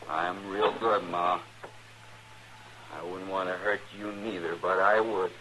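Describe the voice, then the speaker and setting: An actor playing a simple-minded, stubborn backwoods son in an old radio western, with a rural American drawl. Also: male voice, sits low, steady tone, measured pace. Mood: menacing, smug. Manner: childlike, stubborn. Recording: noisy vintage radio recording.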